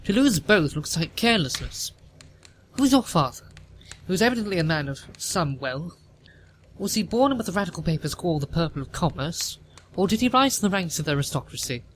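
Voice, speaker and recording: A haughty older upper-class matriarch from a period audio play, acted by a man. The voice sits fairly high for a male performer and interrogates with pompous disapproval. Noticeable noise runs behind the recording. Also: sing-song, brisk, low-quality recording. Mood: haughty, disapproving. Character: haughty, imperious upper-class Victorian mother, older woman. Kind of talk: theatrical audio drama acting.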